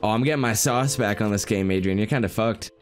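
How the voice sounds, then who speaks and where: A man's voice: fairly low pitch, fast talker, faint music behind. A young man in his twenties with a stuffed-up, nasal voice from a cold, chatting upbeat over gameplay as a gaming YouTuber. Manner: upbeat, hyped, chatty with friend. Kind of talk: casual gameplay commentary. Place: small room at home.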